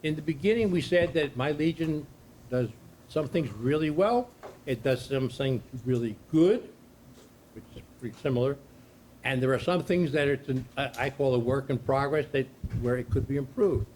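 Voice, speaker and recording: Man, workshop instructor, loud plain delivery; distant camera mic, noisy room. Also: medium pitch, normal speed, echoey room. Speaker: workshop presenter. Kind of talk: training workshop presentation. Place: meeting room with an audience.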